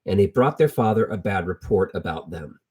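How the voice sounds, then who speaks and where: A middle-aged American man tells Bible stories informally with personal anecdotes, in a halting, reflective way, repeating phrases for emphasis. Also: sits low, animated, darkish tone, breathy edge. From United States. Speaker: lay Bible storyteller.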